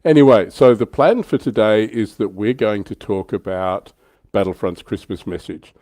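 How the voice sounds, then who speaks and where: Deep, mellow-voiced man hosting a livestream. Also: normal speed, dry recording. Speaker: livestream host. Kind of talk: casual livestream chat.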